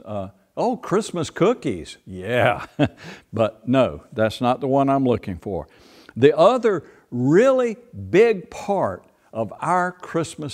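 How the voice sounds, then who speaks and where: Gentle older pastor talking to children. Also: male voice, medium pitch. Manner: playful, speaks slowly and gently to children. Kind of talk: children's sermon. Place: church sanctuary, indoors. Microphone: far camera.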